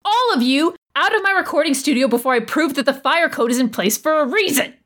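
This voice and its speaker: A woman's voice: high voice, expressive, fairly quick, fairly bright tone. Sardonic teenage girl, played by a woman. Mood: exasperated, panicked. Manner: sarcastic, rambling, exasperated. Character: teenage sound editor hosting a show, sardonic, self-doubting rambler. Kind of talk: comedic audio drama monologue.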